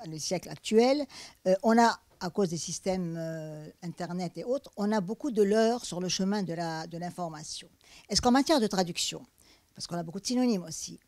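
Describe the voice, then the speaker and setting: Woman running a book panel, lowish voice, keeps saying yes, dry sound. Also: darkish tone, no room sound. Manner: attentive, frequent short affirmations. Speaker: moderator. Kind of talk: bookshop panel moderation. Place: bookshop event.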